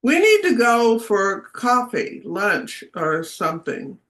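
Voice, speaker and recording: A woman hosting a video call meeting, greeting people warmly and talking in a rambling, affectionate way. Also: medium pitch, big pitch swings, slow. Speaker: meeting host.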